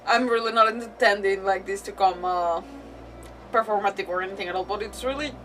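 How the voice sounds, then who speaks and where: A woman streaming a game with casual, emotional reactions, halting as she searches for words. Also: medium pitch, bright, forceful tone, distant mic, soft background music. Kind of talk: casual live gameplay reaction commentary.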